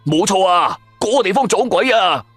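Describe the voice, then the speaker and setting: A man voicing an excitable, naive and tactless young sidekick in a Cantonese audio drama, blurting things out in exclamations. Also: sits high, normal speed, breathy edge, no echo, soft background music, pitched above the performer's own voice, full character voice. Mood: impressed.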